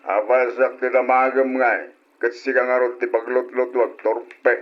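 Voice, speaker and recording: A man's voice: mid-range voice, flat delivery, normal speed, natural voice. A dull, lo-fi radio drama recording carries a man acting an abrasive head of the household. He is scornful and bossy, a hard-drinking idler who barks at his family in a mid-range voice. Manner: scornful, bossy, barking orders. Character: abrasive, domineering husband and father, hard-drinking and idle.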